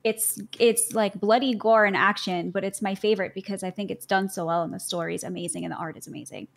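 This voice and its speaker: A young adult woman talks casually as a guest on a chat stream. She rambles, circling back with "like" and restarts, in an ordinary middle-pitched voice. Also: steady tone, vocal fry. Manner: chatty and rambling, heavy use of "like", quick to laugh.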